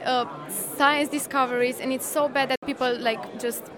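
A young woman answers an interviewer informally and with emphasis. Her pitch is a little high, and a crowd is clearly audible around her. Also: fairly even intonation, normal speed. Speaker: science fair participant being interviewed. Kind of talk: casual spoken interview answers. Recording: clear crowd noise behind. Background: crowd chatter.

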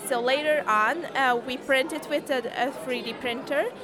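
A woman's voice: sits high, normal speed. Teen girl amid crowd chatter. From Hungary. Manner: hesitant, many fillers and restarts. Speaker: student presenting a science project. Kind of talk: casual interview. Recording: crowd noise behind.

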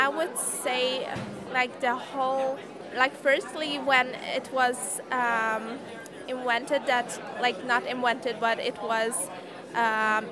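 Teen girl amid crowd chatter. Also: sits high. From Hungary. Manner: hesitant, many fillers and restarts. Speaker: student presenting a science project. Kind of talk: casual interview. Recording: crowd noise behind.

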